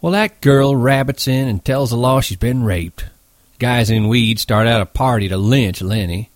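A man voicing a gruff, protective ranch hand who talks in a blunt, folksy, emphatic way in a story. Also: medium pitch, moderate pace, no room sound, clean studio sound, voice pushed up, close to an everyday voice. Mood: animated recounting.

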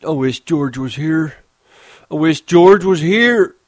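A man's voice: fairly high pitch, animated, slow pace, darkish tone, no echo, voice pushed up. A man doing a childlike eager ranch hand. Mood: whining. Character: simple-minded big gentle ranch hand.

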